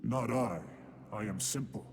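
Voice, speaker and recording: A man's voice: mid-range voice, measured pace, background noise, close to an everyday voice. An actor playing a brooding male demon lord in a video game cutscene, speaking tersely and intensely. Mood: tense. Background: loud game score music.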